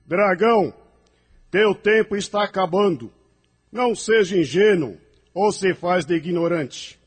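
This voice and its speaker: A man's voice: high-pitched, a little slow, breathy edge. A man playing a solemn, benevolent spirit emissary in an audio drama, speaking in a formal, exhorting way. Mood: grave appeal.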